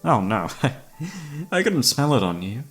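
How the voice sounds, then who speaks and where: A man's voice: mid-range voice, big pitch swings, slow, fairly bright tone, slightly rough, full character voice. A man acting an obsessive, flirtatious serial killer who holds a detective captive, speaking with teasing mock courtesy in an ASMR roleplay. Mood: coaxing, sly. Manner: teasing, mock-polite, theatrical, savouring words. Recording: background music under the voice.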